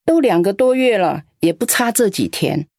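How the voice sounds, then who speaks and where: A woman's voice: medium pitch, animated, normal speed, mellow, no room sound, studio quality. A woman acting a lonely elderly grandmother in a radio drama, doting on the young but scolding her grown daughter in an aggrieved way. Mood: fond. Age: older. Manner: fond and sighing, turns scolding and aggrieved.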